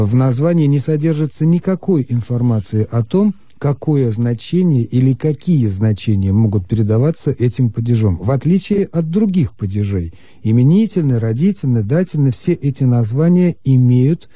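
A man calmly explaining Russian grammar as the expert on a radio call-in show. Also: mid-range voice, dry recording. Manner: measured, lecturing. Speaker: language expert answering listeners.

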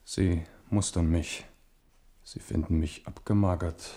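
A man's voice: sits low, fairly even intonation, slow, warm tone. An actor playing a proud, careworn French king in a German radio play, declamatory, commanding and ironic. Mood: grave, insistent.

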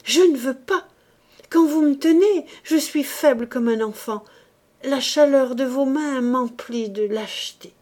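A woman's voice: medium pitch, measured pace, darkish tone, close to an everyday voice. Woman acting distraught, feverish guilt-ridden wife. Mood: frantic refusal. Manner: pleading, exalted, breaking into whispers. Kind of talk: dramatic audiobook character acting.

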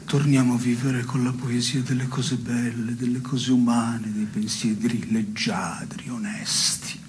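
An actor playing a brooding, neurotic, melancholy man who philosophises in measured, literary theatre dialogue. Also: medium pitch, flat delivery, a little hiss or hum, natural voice. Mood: gloomy musing. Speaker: stage actor and playwright.

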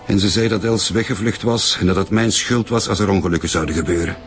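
A man acts out a young Turkish-Flemish suspect in a radio crime drama. His voice is low and his manner is defensive as he explains himself. Clear music runs underneath. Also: fast, natural voice. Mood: uneasy, explaining. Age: young adult. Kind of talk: naturalistic radio drama acting.

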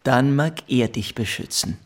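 An actor playing an aggressive, hot-tempered teenage schoolboy bully in a radio play, curt and commanding. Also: male voice, medium pitch, a little slow, natural voice. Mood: stern, threatening. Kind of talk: German radio play acting.

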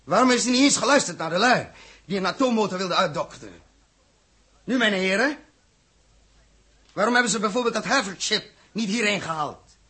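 Een acteur die in een hoorspel uit de jaren zestig een felle, verontwaardigde ruimtekapitein speelt, gepassioneerd en beschuldigend. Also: male voice, high-pitched, lively intonation, a little fast, pauses often, bright, forceful tone, breathy edge, heavily acted. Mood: indignant, accusing. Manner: impassioned, accusatory, rhetorical. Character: fiery, indignant male space captain confronting the government. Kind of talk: 1960s Dutch radio drama acting.

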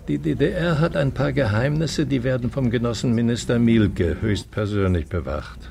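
A man's voice: mid-range voice, moderate pace, vocal fry, background noise. An actor playing an older male economics professor in a radio drama, lecturing with courtly irony and rhetorical flourish. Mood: guarded, ironic. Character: charming, sardonic old male economics professor and dissident.

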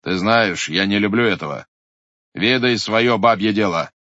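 A man's voice: lowish voice, moderate pace, bright, forceful tone, dry recording. A man reading a storybook voices a proud Cossack lord who speaks in a stern, commanding way. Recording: muffled lo-fi recording.

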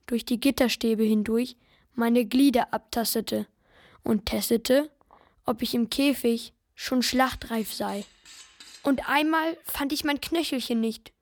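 A woman's voice: a little high, no room sound, studio quality. An actress playing an anxious, pious young farm boy in a literary radio play, narrating and pleading. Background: music.

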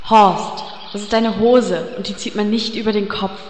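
A woman voicing a vain, haughty female elf priestess in a parody audio drama, prim and quick to curse. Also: medium pitch, fairly even intonation, slight background noise, natural voice. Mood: irritated. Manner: prim, quick-tempered, bursts into cursing. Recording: echoey room reverb.

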